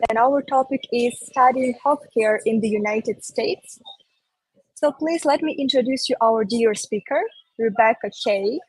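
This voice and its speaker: A woman's voice: a little high, darkish tone, husky, no room sound, rough recording. A woman hosting a webinar, opening it and introducing the speaker in a formal, welcoming way. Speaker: advising webinar host.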